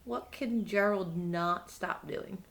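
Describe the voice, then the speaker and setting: A woman's voice: medium pitch, slow. A woman reading a picture book to young children, asking simple questions and answering them herself. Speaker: children's read-aloud storyteller.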